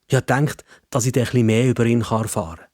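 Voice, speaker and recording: A man's voice: medium pitch, steady tone, fast talker, darkish tone, no room sound, studio quality. A man with a Swiss accent telling a scripted story for children as narrator.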